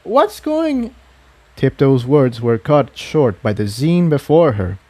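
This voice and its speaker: A man's voice: mid-range voice, dark tone, dry recording. A man narrates adventure fiction from a script. His mid-range voice moves expressively up and down. The recording is dry and close. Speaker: fan fiction reader.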